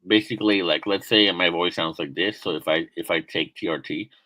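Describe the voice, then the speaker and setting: Deep-voiced young man, halting casual chat. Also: sharp tone, dry recording. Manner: halting, many fillers and restarts. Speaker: streamer host. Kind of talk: casual chat, interviewing a guest.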